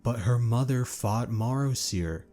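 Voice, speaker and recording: A man playing a gentle, good-natured young male dragon in an audio drama, earnest and placating. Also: mid-range voice, a little slow, faint music behind, natural voice.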